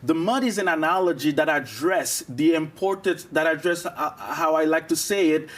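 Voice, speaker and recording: A man from Haiti with a high voice, a guest on a morning television interview. He speaks earnestly and encouragingly, laying out advice point by point. His is not English. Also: normal speed.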